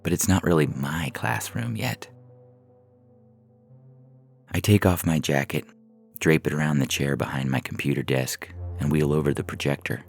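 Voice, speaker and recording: A man reading a first-person short story as a narrator, in a measured, deliberate way, from a script. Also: extremely low pitch, gravelly. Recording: music bed under the voice. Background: synthesizer music.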